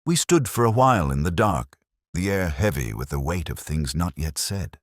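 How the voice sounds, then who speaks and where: Very deep-voiced brooding detective. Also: male voice, very animated, no room sound, studio quality. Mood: brooding, reflective. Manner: measured, literary, brooding, deliberate pauses. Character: melancholy, wry middle-aged male English police inspector. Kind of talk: scripted first-person detective narration.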